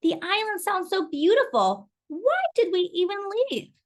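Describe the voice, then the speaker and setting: A woman's voice: very high-pitched, very animated, moderate pace, voice pushed up. A woman voicing a young girl in a storybook read-aloud, earnest and full of wonder. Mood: eager. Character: young girl eager to remember her birthplace.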